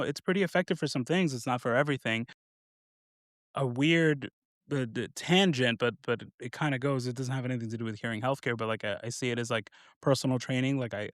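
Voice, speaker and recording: A man's voice: medium pitch, no echo, studio quality. A man in his thirties hosting a podcast, asking loose, rambling questions full of fillers. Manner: rambling, filler-heavy questions with frequent laughs. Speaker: podcast host. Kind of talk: casual interview chat.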